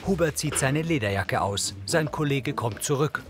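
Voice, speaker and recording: Audio description narrator, male, measured and factual, mid-range pitch, clear music underneath. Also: expressive. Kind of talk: scripted present-tense narration of a TV show's action.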